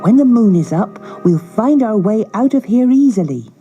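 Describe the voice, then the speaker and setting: A woman's voice: fairly high pitch, lively intonation, normal speed, warm tone, highs cut off, soft background music, voice pushed up, natural voice. A woman voicing an earnest, clever young boy in a storybook, innocent and comforting.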